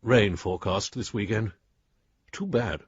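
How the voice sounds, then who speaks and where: Blunt, husky older Englishman. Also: on the low side, a little slow, no echo. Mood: curt, dismissive. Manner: clipped, blunt, scornful. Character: blunt, exasperated old friend and professional adviser, male. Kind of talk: radio drama, reading letters aloud. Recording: dry, slightly dull studio sound.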